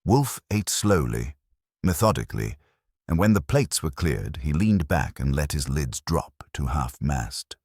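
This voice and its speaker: Very deep-voiced male mystery narrator. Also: very animated, measured pace, darkish tone, slightly rough, no echo, studio quality. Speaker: audiobook narrator. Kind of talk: scripted first-person detective story narration.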